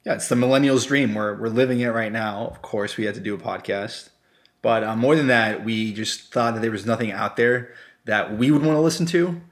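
A man's voice: medium pitch, brisk. A man from Colombia co-hosting a casual podcast about working as a lawyer, talking in a laid-back, joking way. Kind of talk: casual chat.

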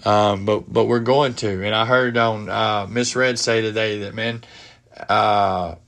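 A middle-aged man with a head cold hosting a casual solo podcast from his home office, speaking haltingly with many fillers and restarts. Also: on the low side, steady tone, a bit bright. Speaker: podcast host.

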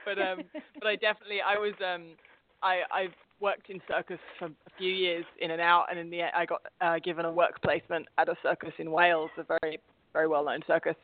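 A woman's voice: on the low side, flat delivery, fast talker. A woman from England with an English accent touched by an Australian twang, chatting as a guest with frequent laughs and restarts. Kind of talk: casual interview chat. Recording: phone-like line, dry.

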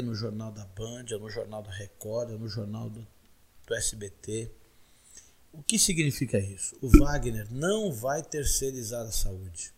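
A man's voice: medium pitch, slightly rough. A man in his forties with a São Paulo Brazilian accent, answering interview questions as a candidate in an emphatic, repetitive, oratorical way. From Guarulhos, São Paulo, Brazil. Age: 40s. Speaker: political candidate being interviewed.

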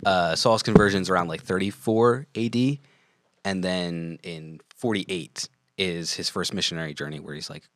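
Low-voiced man thinking aloud. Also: moderate pace, no room sound. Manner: thinks aloud, loses his train of thought. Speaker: podcast co-host. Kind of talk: casual faith discussion.

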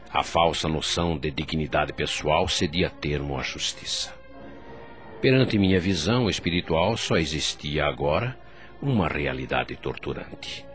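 A very deep-voiced, remorseful man, tells his own story over soft music. His Brazilian Portuguese is formal and solemn, turned inward like a confession in a radio play. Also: heavily acted. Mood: anguished remorse. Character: remorseful male doctor spirit recalling his past. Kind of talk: first-person radio drama narration.

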